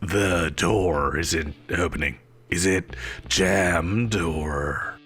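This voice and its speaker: Guy doing a slow-talking haughty supervillain boss, evasive n flustered, audio drama, bright forceful voice. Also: sits low, slight background noise, heavily acted. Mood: nervous, evasive. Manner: theatrical, haughty, stammering when defensive. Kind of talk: scripted audio roleplay acting.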